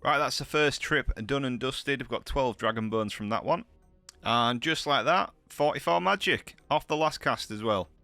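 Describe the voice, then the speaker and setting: A man's voice: mid-range voice, normal speed, sharp tone, dry recording, faint music behind. A man with a heavy cold, talking through his gameplay in a chatty, upbeat way, full of slang. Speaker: gaming channel host. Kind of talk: gameplay commentary.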